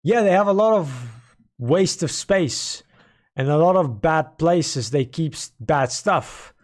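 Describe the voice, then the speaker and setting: A man streaming and chatting casually with his viewers in a rambling, playful, mock-dramatic way, his voice hoarse from a sore throat. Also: a little high, lively intonation, slow. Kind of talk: casual livestream chat with viewers.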